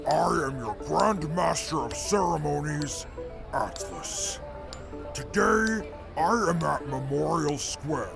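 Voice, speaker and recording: A man playing a pompous gravelly robot reporter. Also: a little high, heavily acted. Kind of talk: audio drama acting.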